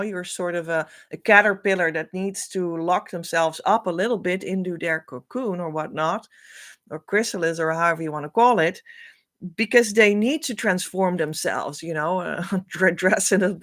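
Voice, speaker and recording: A woman with a Dutch accent, a spiritual coach thinking aloud as she reads meaning into letters, with many pauses and hesitations. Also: on the low side, a bit bright, dry recording, clean studio sound. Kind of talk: casual spiritual reading.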